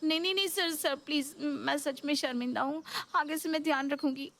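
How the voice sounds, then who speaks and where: A woman's voice: high voice, fairly even intonation, fairly quick, a bit bright, slight background noise. A woman voicing an exasperated, pleading young working daughter-in-law in a Hindi cartoon story. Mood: apologetic.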